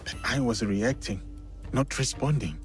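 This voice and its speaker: An actor playing an earnest, measured and respectful foreign samurai warrior in training, in a video game cutscene. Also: male voice, medium pitch, lightly acted. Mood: frustrated, troubled. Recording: game music behind the voice.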